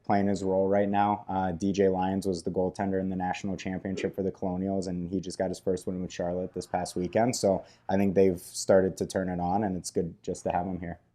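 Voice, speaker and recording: A man, a head coach whose first language is Russian, answering in a casual sports interview in an office, relaxed with many fillers. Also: sits low, a little fast, few pauses. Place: coach's office at an ice rink.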